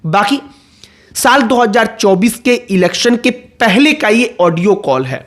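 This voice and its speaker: A man's voice: high-pitched, animated, a little slow, a bit bright. A man giving explanatory political commentary, going point by point and repeating phrases for emphasis.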